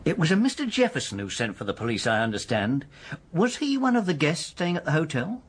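A man's voice: on the high side, big pitch swings, fairly quick, breathy edge. An actor playing a helpful, businesslike policeman in a radio drama, speaking plainly and informally. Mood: inquiring.

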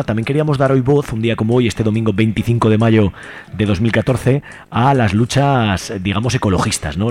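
A man's voice: on the low side, darkish tone. A man with an accent from Spain presenting a radio show in an informal, conversational way with hesitant phrasing. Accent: Spanish (Spain). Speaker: radio host.

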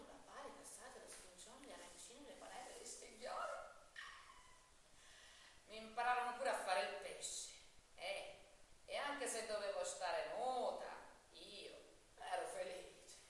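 An actress playing a naive, volatile Sicilian mafia bride addressing a judge in a stage drama, with a Sicilian accent and dramatic swings between confiding and outcry. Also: female voice, mid-range voice, very animated, a little slow. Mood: wistful, confiding. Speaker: stage actor. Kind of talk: theatrical monologue and dialogue. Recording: very echoey hall, distant mic, faint noise.

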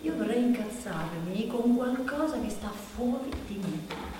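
An actress playing an anxious, bitter woman nearing forty in a halting, repetitive, confessional stage monologue. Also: a little high, expressive. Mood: agitated, angry. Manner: halting, repetitive, insistent, with rhetorical questions. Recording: echoey hall from far off, background noise.

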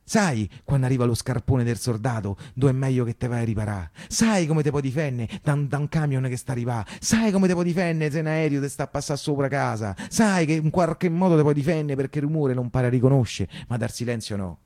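A man's voice: mid-range voice, a little fast, few pauses, dark tone, natural voice. An actor playing an anguished working-class Roman man who recounts wartime life in a theatrical, colloquial monologue full of insistent repetition. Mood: hushed, ominous. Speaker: stage storyteller and actor. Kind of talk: theatrical first-person monologue for radio theatre.

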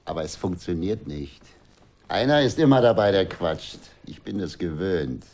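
A man's voice: deep voice, lively intonation, normal speed, darkish tone. An actor playing a harsh, commanding male gang leader in a radio drama, curt and scornful.

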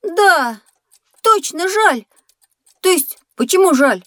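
Whiny, high-voiced little schoolboy. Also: female voice, slow, dry recording, studio quality, full character voice. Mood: excited. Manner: childlike, earnest, expressive. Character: whiny, naive, curious young schoolboy. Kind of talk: scripted educational audio drama.

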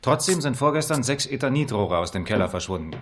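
A man's voice: mid-range voice, lively intonation, fast talker, noisy background, close to an everyday voice. A man playing a dry, wry junior-ranking police detective in a 1970s radio crime drama. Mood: matter-of-fact.

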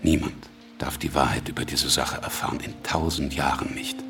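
An actor playing a cunning, ruthless, self-assured revolutionary leader in a radio drama, gravelly and deliberate in delivery. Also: male voice, very deep voice, steady tone, over music. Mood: grave, resolute.

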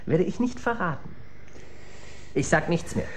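Cocky young crook in a radio play, highish voice jumping all over, sneery. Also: male voice, animated, a little slow, a little hiss or hum. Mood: defiant. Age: young adult. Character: defiant, scornful young killer, a cop's son. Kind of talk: German radio crime drama acting.

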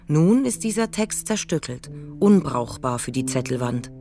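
A woman's voice: deep voice, animated, normal speed. A woman reading scripted literary narration for a radio play. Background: music.

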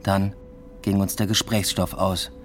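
A man playing an introspective, uneasy academic who narrates his own story in a measured, subdued radio drama style. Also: deep voice, flat delivery, soft background music. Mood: brooding, reflective. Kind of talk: literary first-person radio drama narration.

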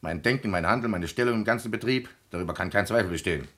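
An actor playing a stiff, self-assured son-in-law and business manager in a radio play, formal and self-important. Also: male voice, on the low side, quick pace, a bit bright. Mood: firm, self-important. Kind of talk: German radio play acting.

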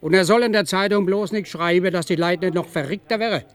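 High-voiced excitable actor as southern German villager. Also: male voice, fast, lightly acted. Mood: urgent, confiding. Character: excitable, insistent rural man passing on overheard news. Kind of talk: German radio drama acting.

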